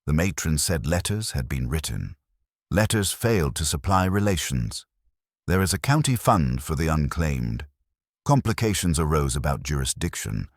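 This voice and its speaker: A man narrating a literary mystery in a measured, wry manner. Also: rumbling low, very animated, dry recording, studio quality.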